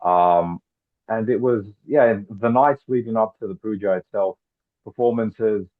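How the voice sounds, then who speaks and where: A man's voice: lowish voice, measured pace. A man from Argentina talking casually and chattily over a video call. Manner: chatty, filled with "like". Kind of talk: casual conversation. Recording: tinny phone-like line.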